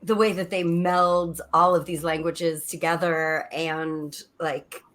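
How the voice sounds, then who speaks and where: A woman's voice: fairly low pitch, little pitch movement, slow pace, fairly bright tone. Enthusiastic woman chatting on a podcast. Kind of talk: casual discussion.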